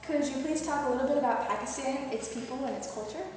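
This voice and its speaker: A woman's voice: sits high, quick pace, recorded from a distance. A woman interviewing a guest, reading prepared questions in a formal way. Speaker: student interviewer. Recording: echoey room, voice from afar, faint noise.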